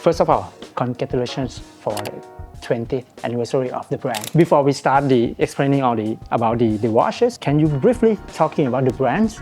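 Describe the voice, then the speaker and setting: A man working as a field host, eager and formal; his first language is Thai and he asks questions in halting English. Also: mid-range voice, expressive, a little mellow. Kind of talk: host interview. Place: watch boutique in a mall. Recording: music playing behind.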